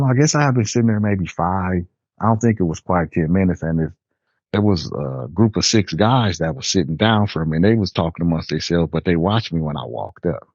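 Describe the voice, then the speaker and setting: An older man from Nebraska telling a personal story in a relaxed, rambling way with casual slang. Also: low voice, husky, no echo, lo-fi sound. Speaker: storyteller guest. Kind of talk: personal storytelling, recounting a memory.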